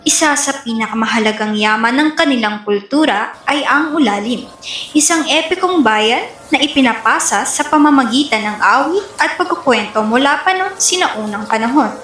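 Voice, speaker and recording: A woman's voice: on the high side, lively intonation, normal speed, fairly bright tone, a little hiss or hum. A woman with a Filipino accent reading scripted narration for a folk epic dramatization. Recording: echoey room.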